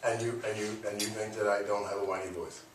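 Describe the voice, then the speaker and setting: A man's voice: fairly low pitch, level tone, fast. Joking male radio host in an echoey room. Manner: joking, teasing, loose and playful. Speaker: radio talk show host. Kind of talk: casual banter on a local radio show. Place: radio studio. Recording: echoey room, distant mic, faint noise.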